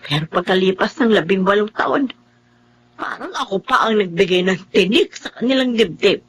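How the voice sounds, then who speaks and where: Husky, earnest Filipino family elder. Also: female voice, medium pitch. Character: Helen, earnest, regretful older relative of a troubled family. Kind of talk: Filipino drama acting.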